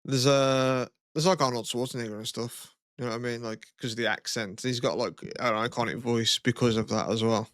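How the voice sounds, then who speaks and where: A man, casually chatting as a podcast co-host, relaxed and swearing freely, with a low throaty voice. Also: a little fast, few pauses, no echo, clean studio sound. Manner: laid-back, swears a lot, lots of "you know what I mean". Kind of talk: casual chat.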